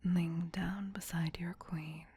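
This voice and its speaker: A woman voicing a doting, possessive vampire queen in a breathy whisper, coaxing slowly and tenderly for an ASMR roleplay. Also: on the low side, fairly even intonation, warm tone, a little hiss or hum. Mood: tender, protective. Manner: slow, tender, coaxing endearments. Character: doting, possessive vampire queen soothing her human pet.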